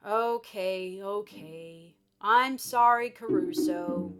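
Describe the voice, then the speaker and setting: A woman voicing an excitable, bossy, easily exasperated female adventurer from a children's storybook, with dramatic emphasis. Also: medium pitch, extremely slow, soft background music. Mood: pleading.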